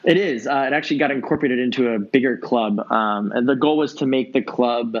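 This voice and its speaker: A man in his thirties from Southern California, answering interview questions in a relaxed way, with plenty of ums and easy laughs. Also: medium pitch, brisk, lo-fi sound. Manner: relaxed, many ums and uhs, laughs easily. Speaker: podcast guest, entrepreneur.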